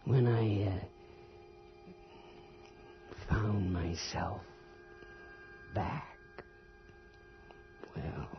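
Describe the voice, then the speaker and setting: An actor playing a guarded, plain-spoken rural father back from the grave in a radio horror drama, halting and folksy, with a breathy half-whisper. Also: on the low side. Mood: haunted, halting. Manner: terse, halting, folksy rustic speech. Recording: dull lo-fi radio recording with music.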